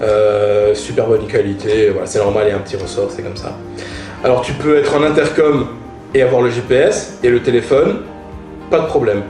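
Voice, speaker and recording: A man's voice: medium pitch, steady tone, brisk, reverberant, over music. A man chatting informally to viewers as he reviews gear, slangy and emphatic, repeating points for effect. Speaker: motorcycle vlogger reviewing gear. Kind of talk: casual product review talk to viewers.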